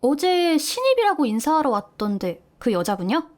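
A woman playing a high, bubbly young junior colleague. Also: sing-song, moderate pace. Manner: bright, teasing, eager. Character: cheerful young female junior office worker. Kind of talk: amateur audio drama acting.

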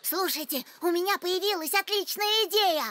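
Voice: very high voice, normal speed, a bit bright. An actor in a children's cartoon dub voicing a brave, earnest young male animal who takes the lead. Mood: eager. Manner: earnest, commanding, eager.

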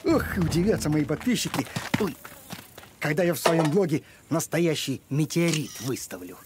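A man voicing an eager, boastful, simple-minded talking dog in a children's cartoon, excitable and naive. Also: on the high side, moderate pace, natural voice. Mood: excited. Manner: excitable, naive, mixes up words. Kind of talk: animated children's cartoon acting. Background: music.

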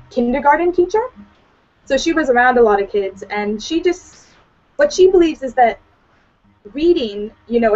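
A teenage young woman from Hawaii chatting informally as a guest on a video call, often saying "like" and "you know". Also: fairly high pitch. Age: teens. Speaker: student guest. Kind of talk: casual video-call chat. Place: small room at home. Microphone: computer on video call. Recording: dull lo-fi video-call audio.

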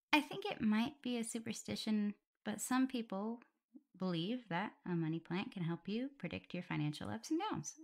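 A woman playing a gentle, playful omega werewolf in an ASMR audio roleplay, talking softly, soothingly and a little hesitantly as she looks after her partner. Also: on the low side, vocal fry. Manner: soft, soothing, hesitant, giggly. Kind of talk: soft ASMR roleplay, intimate one-sided dialogue.

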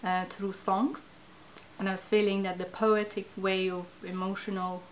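A woman in her thirties from Iran with a Persian accent, a native Farsi speaker, talking reflectively to camera in a small indoor studio and often pausing to search for words. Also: mid-range voice, flat delivery, a little hiss or hum. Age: 30s. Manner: hesitant, searching for words, many fillers. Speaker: interviewee, language project participant. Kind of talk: reflective interview talk to camera.

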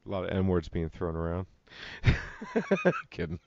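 Low-voiced man hosting a podcast chat. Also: huge pitch swings, measured pace, gravelly, no echo. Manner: chatty, laughs often. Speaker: podcast host. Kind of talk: casual chat. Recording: dull lo-fi dry sound.

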